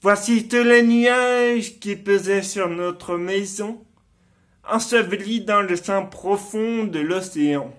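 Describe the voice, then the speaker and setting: Bitter deformed nobleman, scheming villain, very slow delivery, declamatory, classical stage monologue. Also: sits high, a bit bright. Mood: grandly ironic. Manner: declamatory, deliberate, dramatic delivery. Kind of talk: theatrical Shakespeare monologue.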